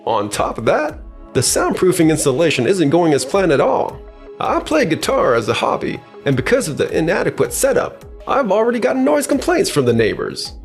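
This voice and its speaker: A man's voice: sits high, wildly swooping pitch, moderate pace, soft background music, heavily acted. A man playing a stern, accusing client in a manga dub, complaining in a formal manner. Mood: indignant. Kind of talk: expressive manga dub voice acting.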